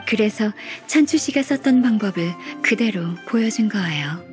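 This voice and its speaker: A woman playing a gentle, dependable senior secretary in a video game, soft-spoken, polite and measured like a kindly mentor. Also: medium pitch, expressive, soft background music, natural voice. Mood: calm explaining.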